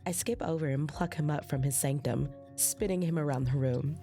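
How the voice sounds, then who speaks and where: A woman's voice: low-pitched, moderate pace, dark tone, faint music behind. A woman playing an earnest young woman who narrates her own story expressively in an audio drama. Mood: excited, giddy. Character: bubbly, anxious young woman narrating her new job.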